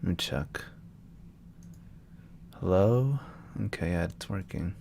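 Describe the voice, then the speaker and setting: A man's voice: low voice, lively intonation, takes it slowly, vocal fry, dark tone, slight background noise. A young man from Texas, a voice actor chatting casually with viewers on a live stream and answering their questions. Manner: chats loosely, answering viewers' questions as they come.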